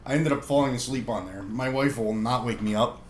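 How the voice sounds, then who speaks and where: A man with a slightly congested voice, chatting casually as a podcast co-host. He trips over his words and restarts often. The room sounds echoey, with clear noise in the background. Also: medium pitch, fairly quick. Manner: stammering restarts. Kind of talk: casual chat.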